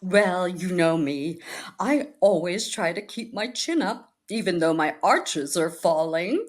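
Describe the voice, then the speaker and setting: Ailing, scatterbrained spinster lady, female actor, 1940s radio comedy: doleful yet chirpy, rather low, highly animated intonation. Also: unhurried. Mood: cheerfully morbid. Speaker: actress in a radio comedy recreation.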